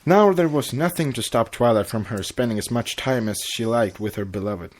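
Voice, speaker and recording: A man's voice: medium pitch, normal speed. A man co-hosting a podcast, reading fan fiction narration and chatting casually. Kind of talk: story reading and casual chat.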